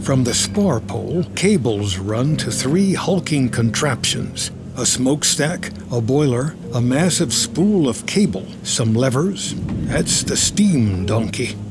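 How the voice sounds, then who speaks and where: A male narrator reads a dramatic screenplay in present tense with lively, varied pitch. Loud ambient noise and sound effects run behind his voice. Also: slow.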